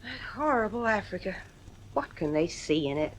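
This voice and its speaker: Lady doing a worried fifties radio drama mum, soft-hearted, voice swings up and down, slightly noisy old recording. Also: mid-range voice, animated, moderate pace, natural voice. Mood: uneasy. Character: anxious, soft-hearted mother and wife. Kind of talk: 1950s radio drama acting.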